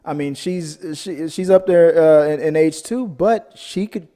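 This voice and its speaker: A man hosts a casual film podcast in a soft-edged, fairly high voice. He rambles and jokes as he hunts for words, and the recording carries a long hall-like reverb. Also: unhurried. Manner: rambling, jokey, searching for words. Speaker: host of a film discussion podcast. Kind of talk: casual chat.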